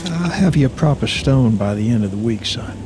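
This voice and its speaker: A man playing a compassionate 1890s frontier parson in an audio drama, speaking gently and solemnly. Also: mid-range voice, animated, fairly quick, over music, lightly acted, low-quality recording. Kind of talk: audio storyboard drama acting.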